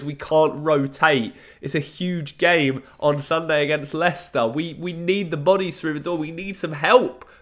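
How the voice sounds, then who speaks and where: A man's voice: mid-range voice, fairly bright tone. A young man with a blocked nose from a cold, sounding nasal as he talks casually through football transfer news as a fan channel host. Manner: earnest, pleading fan talk.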